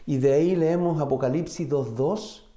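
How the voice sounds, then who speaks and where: A man from the United States, a native English speaker, preaching a sermon in a deliberate way, pausing and searching for words. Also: a little high, mellow. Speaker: pastor. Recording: roomy, echoing sound.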